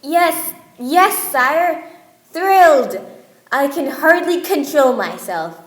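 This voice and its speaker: Woman as a high-voiced boastful inventor. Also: animated, slow. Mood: reluctant. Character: boastful Yankee inventor, the king's right-hand man. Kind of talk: amateur radio play acting. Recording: roomy live room, music behind.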